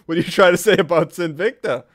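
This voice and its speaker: A man's voice: high voice, fairly quick. A man bantering casually with friends during a video game, teasing and needling them.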